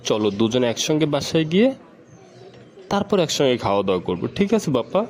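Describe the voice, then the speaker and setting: A man's voice: medium pitch, very animated, brisk, darkish tone, natural voice. A man playing a dutiful, affectionate schoolboy son in an amateur educational cartoon, polite and earnest. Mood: earnest, insistent.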